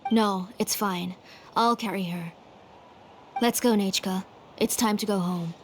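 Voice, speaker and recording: A woman voicing a gentle but firm, protective mother in a video game, composed and courteous. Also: medium pitch, unhurried, slight background noise, close to an everyday voice.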